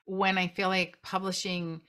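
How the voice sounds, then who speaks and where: Bright-toned woman interviewer. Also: fairly low pitch, level tone, a little slow. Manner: restarts often, enthusiastic. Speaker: interviewer and company CEO. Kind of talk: casual interview chat.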